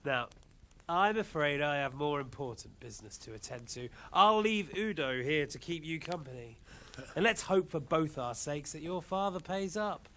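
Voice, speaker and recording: Cruel warlord, theatrical, mock-courteous, deliberate, animated intonation, game cutscene with music. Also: male voice, on the high side. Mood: gloating, menacing. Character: smug, cruel male warlord taunting his captive. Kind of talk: dramatic video game cutscene acting.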